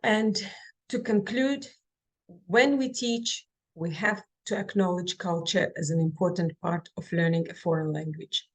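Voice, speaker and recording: A woman giving a webinar presentation over a video call. She speaks haltingly and fills pauses with many ums and restarts. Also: lowish voice, steady tone, poor audio. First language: Kazakh. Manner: halting, with frequent fillers and restarts. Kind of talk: online presentation and Q&A.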